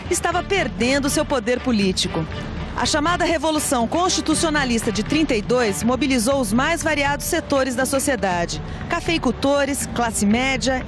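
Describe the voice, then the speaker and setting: High-voiced Brazilian woman narrating history over music. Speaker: documentary narrator.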